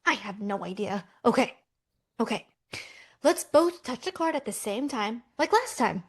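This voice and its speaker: A woman's voice: on the high side, expressive, unhurried, breathy edge. A woman playing an anxious, practical, easily exasperated millennial twin in a romcom table read, earnest and insistent. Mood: focused, anxious.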